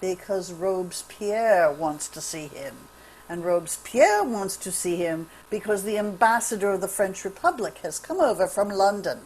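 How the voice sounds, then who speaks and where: Woman playing an anxious, animated Frenchman, slightly noisy line. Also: medium pitch, normal speed, lightly acted. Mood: worried, earnest. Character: anxious, loyal young Frenchman, friend of the hero. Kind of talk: table read of a radio drama. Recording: faint noisy home virtual-call recording.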